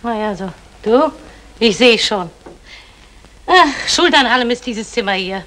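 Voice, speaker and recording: A woman's voice: fairly high pitch, lively intonation, a little slow, a bit bright, rough recording. An actress playing a meddling, matter-of-fact middle-aged mother in a vintage German crime radio drama. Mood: brisk, knowing. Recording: vintage radio drama, clicks, faint noise.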